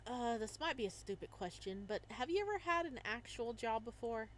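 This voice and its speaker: A skeptical young male florist with a dry, deadpan manner, voiced by a woman reading aloud. His lines come in a fairly high, lively voice. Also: moderate pace, a little hiss or hum, natural voice.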